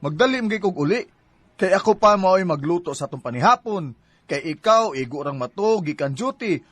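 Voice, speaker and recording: A man's voice: high voice, animated, normal speed, fairly bright tone, no room sound. A man with a Cebuano Filipino accent playing a hurt, fed-up young boyfriend who complains plaintively in a radio drama. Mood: aggrieved. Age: young adult. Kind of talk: Bisaya radio drama acting.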